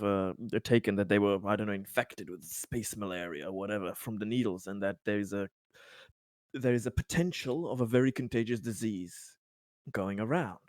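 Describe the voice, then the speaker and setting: Halting, low-voiced man, close dry sound. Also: darkish tone, no room sound. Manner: hesitant, halting, polite and formal. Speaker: tabletop roleplaying game player. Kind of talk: improvised in-character tabletop dialogue.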